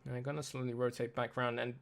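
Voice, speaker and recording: A male esports caster calls a shooter match play by play, his voice worn and slightly raspy from the late hour. He keeps up the running commentary alone from a home setup. A faint hiss sits under his voice. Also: medium pitch, little pitch movement, very fast. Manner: self-deprecating, talks through tech trouble.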